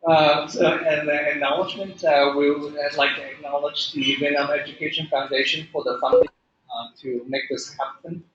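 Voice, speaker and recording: A man's voice: a little high, fairly even intonation, normal speed, a bit bright, muffled recording. Hesitant male lecturer. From Hong Kong. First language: Cantonese. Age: middle-aged. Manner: hesitant, many fillers and restarts. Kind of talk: classroom lecture. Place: lecture room. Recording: echoey room, faint noise.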